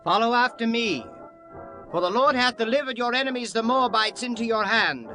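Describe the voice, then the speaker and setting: A man playing a rousing Israelite war leader rallying his men in dramatized scripture, loud and declamatory. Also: very high voice, moderate pace, soft background music, pitched above the performer's own voice.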